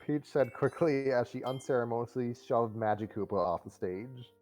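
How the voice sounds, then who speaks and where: Male story narrator, medium pitch, live-stream read-aloud, occasional re-reads, background music. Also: fairly even intonation, normal speed, a little mellow. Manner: stumbles over and re-reads phrases. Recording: music behind the voice.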